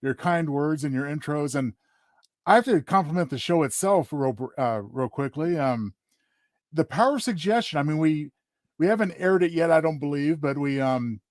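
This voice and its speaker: A man with a fairly high voice chats as a co-host. He is courteous and a little halting as he builds his sentences, recorded dry with no room sound. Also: expressive, no room sound. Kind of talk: casual chat.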